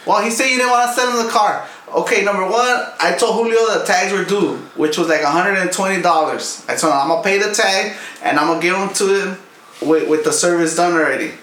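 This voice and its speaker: A man's voice: high-pitched, quick pace, fairly bright tone. A man in his thirties telling stories casually among friends, cursing and defending himself. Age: 30s. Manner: profane storytelling, defensive. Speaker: podcast co-host. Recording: echoey room with faint noise.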